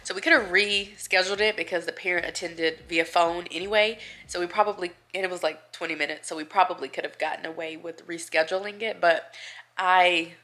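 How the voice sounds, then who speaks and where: A woman talks to her camera at home, thick and nasal from a cold. Her voice sits a little low yet has a forceful, bright edge. She rambles chattily and laughs between thoughts. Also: fairly even intonation, brisk. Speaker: vlogger. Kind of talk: casual chat to camera.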